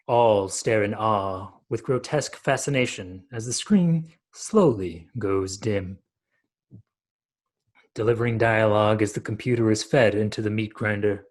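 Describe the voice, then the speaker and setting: A man in his thirties narrates a radio play in a fairly low voice. He reads scripted stage directions from a room at home over a video call.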